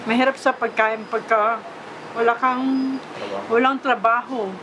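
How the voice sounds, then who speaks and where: A Filipino woman answers haltingly and politely in a candid documentary interview, recorded on a noisy location. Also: fairly high pitch, little pitch movement. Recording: noisy location with vehicle ambience.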